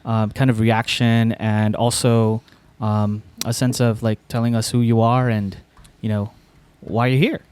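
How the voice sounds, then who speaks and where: A man's voice: fairly low pitch, little pitch movement, unhurried, hardly any pauses, darkish tone, clean tone. Chatty man hosting a podcast. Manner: chatty, laughs often, repeats words. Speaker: podcast host. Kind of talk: casual podcast chat.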